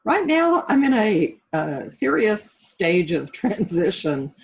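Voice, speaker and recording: Middle-aged American woman, husky voice, reflective interview guest, slightly dull lo-fi recording. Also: mid-range voice, sing-song, slow. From southern United States (Florida). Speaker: interview guest, life coach. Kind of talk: reflective interview talk about own life.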